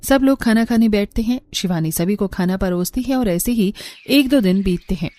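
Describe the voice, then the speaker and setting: A woman's voice: mid-range voice, normal speed, warm tone. A woman reading scripted narration for a cartoon story.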